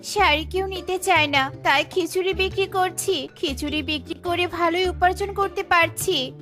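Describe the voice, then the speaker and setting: A woman's voice: extremely high pitch, normal speed, pushed and bright, faint music behind. A woman voicing an honest, kindly little mother bird who sells food in a children's cartoon, polite and earnest. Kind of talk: children's cartoon moral story acting.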